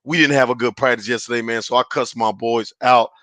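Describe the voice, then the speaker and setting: A man hosting a casual sports podcast, his voice raspy and hoarse from yelling, talking in a hyped, slangy way on a headset mic. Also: medium pitch, fairly even intonation, a little fast, dry recording. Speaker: podcast host.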